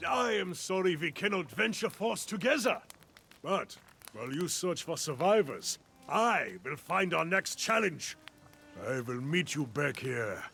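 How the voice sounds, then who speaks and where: An actor playing a hearty, optimistic warrior mentor in a video game, with a gravelly voice and a boisterous, theatrical delivery. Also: male voice, fairly high pitch, lively intonation, a little slow, bright, forceful tone, faint music behind, heavily acted. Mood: resolute. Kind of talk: video game cutscene acting.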